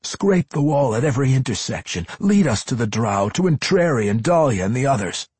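A man voicing a stern, noble elf warrior in a fantasy story, speaking in a formal, measured and challenging way. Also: low-pitched, a little mellow, breathy edge, dry recording, exaggerated voice. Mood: urgent.

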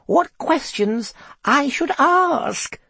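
A man voicing a nervous, demanding woman with an unmistakably high voice in a story reading. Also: expressive, drawn-out words, vocal fry, sharp tone, no room sound, full character voice. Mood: nervous, insistent. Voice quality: high-pitched.

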